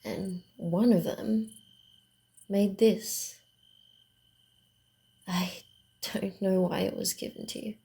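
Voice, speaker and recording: A woman voicing a sly, guarded, secretive female vampire in a hushed, theatrical and deliberate ASMR roleplay style. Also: mid-range voice, fairly even intonation, creaky. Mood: sly, ominous.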